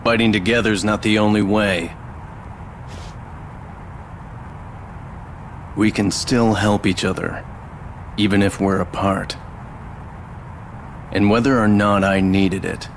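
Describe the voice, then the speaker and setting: Low-voiced stoic young warrior. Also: male voice, slight background noise. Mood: resolute. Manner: terse, cool, restrained. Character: stoic, aloof young male warrior, quietly determined. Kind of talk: video game voice acting.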